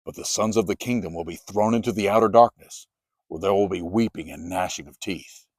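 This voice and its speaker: Guy doing calm holy teacher from the bible, measured and firm, lowish, dry sound. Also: dry recording. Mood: solemn. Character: calm, authoritative adult male holy teacher.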